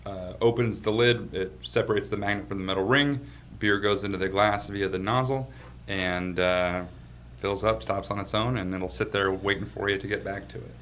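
A man's voice: fairly low pitch, steady tone, normal speed, fairly bright tone, a little hiss or hum. A man from Washington state, a company founder being interviewed in his office, telling his story in a laid-back way and laughing easily. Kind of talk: casual storytelling.

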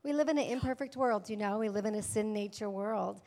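Gravelly woman hosting a church panel. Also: mid-range voice, a little fast, darkish tone. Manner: upbeat, calls out amens to the room. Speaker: women's event host. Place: church hall with audience.

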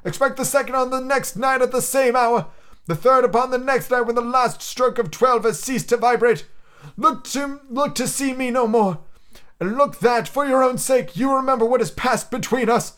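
A man voicing a tormented old male ghost in chains, speaking in a mournful, drawn-out lament. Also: very high voice, a bit bright, voice pushed up. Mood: solemn warning. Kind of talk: audiobook character reading.

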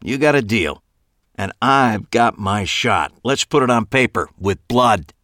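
A man voicing an excitable veteran film director with an enthusiastic, emphatic manner, in an audiobook. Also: fairly low pitch, a little slow, dry recording, clean studio sound.

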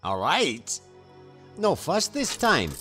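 A man's voice: fairly high pitch, wildly swooping pitch, slow, pushed and bright, faint music behind, full character voice. Man acting a swooping, domineering tavern keeper. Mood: domineering, scolding. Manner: bossy, grumbling, emphatic. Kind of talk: fantasy audio drama acting.

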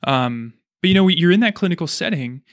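Chatty man with a higher-pitched voice. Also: animated, a little fast, no echo. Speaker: podcast host, chiropractor. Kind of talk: casual interview.

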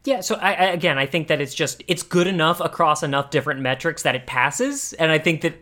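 A man chatting casually as a podcast co-host reviewing a cartoon, rephrasing his thoughts haltingly as he goes. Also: a little high, pushed and bright.

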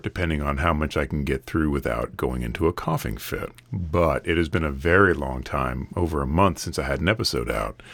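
Man, podcast host, extremely low voice, raspy after being sick, earnest and steady. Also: no room sound. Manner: earnest, steady, advice-giving. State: raspy from recent illness. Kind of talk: casual solo podcast monologue.

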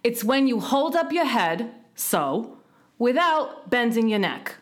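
A fretful old male wasp from a storybook , voiced by a woman. The voice jumps high and swoops about as it grumbles. The manner is peevish and self-pitying, with rustic, ungrammatical turns of phrase. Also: big pitch swings, slow. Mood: gentler, self-pitying.

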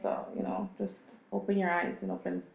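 A woman's voice: fairly low pitch, level tone, fairly quick, gravelly, a little mellow, reverberant, rough recording. A woman who co-owns a restaurant, speaking bluntly and matter-of-factly in a casual interview. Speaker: restaurant co-owner.

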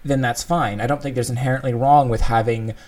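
A man's voice: medium pitch, steady tone, brisk, a little mellow. A man co-hosting a casual discussion, explaining his thoughts in a thoughtful, conversational way. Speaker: podcast co-host discussing game design.

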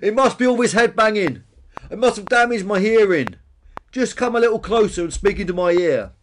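Man voicing a loud, cocky bonehead dinosaur bully. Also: high voice, animated, brisk, slight background noise, voice pushed up. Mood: defiant. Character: brash bullying bonehead dinosaur, thuggish, boastful and thick-skulled. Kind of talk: children's storytelling character voice.

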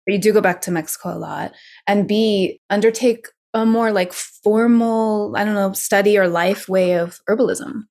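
A woman hosting an interview, asking questions casually and backchanneling with soft "mm-hmm"s. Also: medium pitch, steady tone, moderate pace. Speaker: podcast host.

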